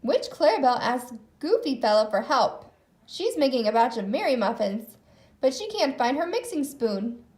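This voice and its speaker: A woman reading a children's story aloud in an expressive narrating style. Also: sits high, moderate pace. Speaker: storybook reader.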